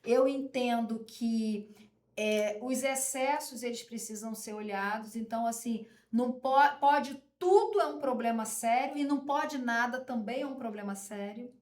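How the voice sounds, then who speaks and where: Woman guest, faith-filled parenting advice, emphatic, slightly high voice, echoey room. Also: unhurried, a little mellow. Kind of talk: conversational advice.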